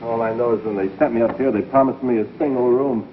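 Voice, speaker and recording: A man's voice: mid-range voice, fairly even intonation, fast talker, lightly acted. A man playing a grumbling, blunt, comically bitter convict in a 1950s comedy sketch. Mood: griping. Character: grousing, griping male convict, comically bitter. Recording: echoey, muffled, very noisy 1950s broadcast.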